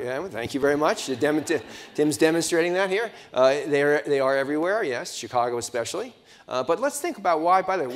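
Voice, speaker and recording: An older man, in his sixties, delivers a conference keynote from a podium in a large hotel ballroom, miked with a lapel microphone. He keeps the audience involved with questions and often restarts his sentences mid-thought. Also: mid-range voice. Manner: engaging, asks the audience questions, frequent restarts. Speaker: keynote speaker. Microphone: lapel mic at a podium.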